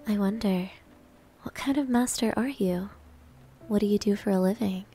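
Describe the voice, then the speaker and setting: A woman's voice: medium pitch, moderate pace. A woman playing a confident, playful senior maid who teases and flirts, in an anime-style ASMR roleplay. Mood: teasing, flirty. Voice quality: breathy, soft. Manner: coy, teasing. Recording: music behind the voice.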